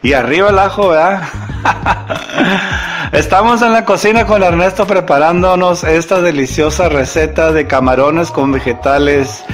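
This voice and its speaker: A man's voice: a little high, unhurried, soft background music. A man hosting a cooking show, chatting casually and rambling, often correcting himself as he talks to the viewer like a friend.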